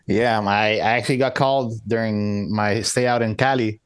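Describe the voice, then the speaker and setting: A man from the United States, a podcast co-host, talking in a relaxed, thoughtful way. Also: fairly low pitch, normal speed, smooth, dry recording, clean studio sound. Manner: laid-back, thoughtful, laughs easily. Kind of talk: casual podcast chat.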